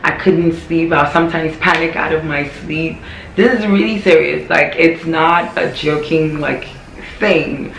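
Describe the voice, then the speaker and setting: A young woman talks to her vlog audience, her voice hoarse and frayed. She is candid and chatty and doubles back on phrases to drive a point home. The sound bounces around an echoey room, with a faint hum of noise underneath. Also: deep voice, a little slow. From Ghana. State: hoarse, voice worn from yelling. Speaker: vlogger. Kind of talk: casual talking-to-camera vlog.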